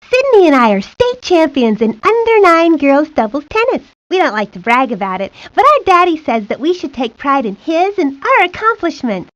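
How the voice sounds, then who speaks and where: High, lively little girl. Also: no echo. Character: sporty, chatty, confident little girl twin. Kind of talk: scripted video game character lines. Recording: dull, lo-fi 1990s game audio.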